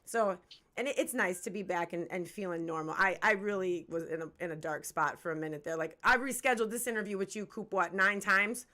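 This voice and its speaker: A woman's voice: mid-range voice, a little fast, bright, forceful tone. A woman in her forties hosting a casual hip-hop interview livestream, chatty, candid and slangy, swearing freely. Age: 40s. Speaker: host of a music review livestream.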